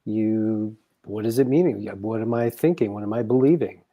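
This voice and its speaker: A man in a reflective coaching conversation, explaining things in measured, deliberate phrases. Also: fairly low pitch, dull audio.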